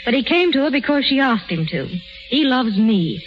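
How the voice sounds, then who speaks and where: An actress playing a plain-spoken, lovelorn duchess of common birth, halting and emotional, in 1930s radio style. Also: female voice, high-pitched, big pitch swings, soft background music. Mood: earnest, defiant. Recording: muffled 1930s radio broadcast.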